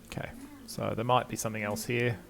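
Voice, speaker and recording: A man's voice: on the low side, level tone, fairly quick, vocal fry, noisy background. An older man giving casual, hesitant let's play commentary, half talking to himself. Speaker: game player commentating.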